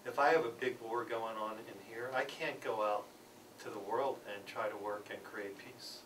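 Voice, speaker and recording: A man presenting a peace program at a conference, speaking haltingly with many fillers and restarts. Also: on the low side, fairly even intonation. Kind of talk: conference panel talk. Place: church conference hall. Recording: distant, noisy conference recording.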